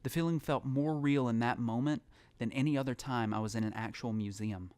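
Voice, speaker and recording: A man's voice: medium pitch, a little fast, a little mellow, no echo, lightly acted. An actor playing a troubled lone survivor, narrating his experiences in an introspective first-person audio drama style. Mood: reflective, subdued. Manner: introspective, storytelling. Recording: clearly noisy background ambience.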